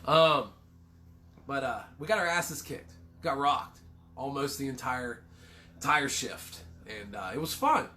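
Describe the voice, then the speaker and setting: A man's voice: a little high, bright, forceful tone, a little hiss or hum. Man with a cold hosting a livestream. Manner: rambling, swears casually, self-deprecating jokes. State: congested with a cold. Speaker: livestream co-host. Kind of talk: casual livestream chat.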